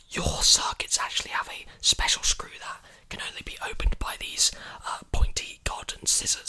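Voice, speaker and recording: A young man whispering breathily and soothingly into a close mic, repeating phrases in an ASMR roleplay as a repair technician. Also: pushed and bright. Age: young adult. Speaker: ASMR performer playing a robot repair technician. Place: small indoor room with clothes hanging behind. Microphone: large desktop condenser mic, very close.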